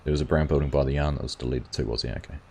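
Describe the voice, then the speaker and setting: A man's voice: rumbling low, steady tone, racing, gravelly, darkish tone, slightly rough. A man hosting a gaming livestream, chatting casually and reading viewers' comments aloud. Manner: rambling, reads chat aloud and answers viewers.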